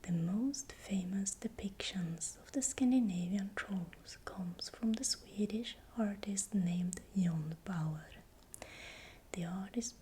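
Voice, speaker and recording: Slow whispering ASMR woman. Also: mid-range voice, a little hiss or hum. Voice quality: breathy unvoiced whisper. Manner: slow, deliberate, repeats words softly. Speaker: ASMR creator narrating folklore. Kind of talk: whispered ASMR narration of folklore.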